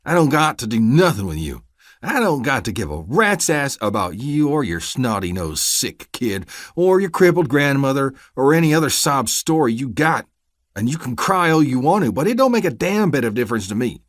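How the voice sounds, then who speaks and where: A man's voice: medium pitch, animated, moderate pace, no echo, studio quality, pitched above the performer's own voice, natural voice. A man doing a snarling bully deputy. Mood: menacing. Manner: menacing, threatening. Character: brutal, bullying corrupt male deputy.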